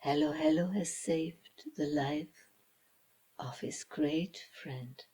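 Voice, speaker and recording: A woman reading a children's story as its author, in a dramatic storybook style with hushed, breathy phrases and deliberate pauses. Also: low voice, big pitch swings. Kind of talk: slow dramatic storybook narration.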